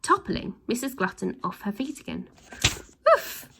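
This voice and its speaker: A woman reading a children's storybook aloud, with lively voices and sound effects. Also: mid-range voice, moderate pace. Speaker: storybook reader.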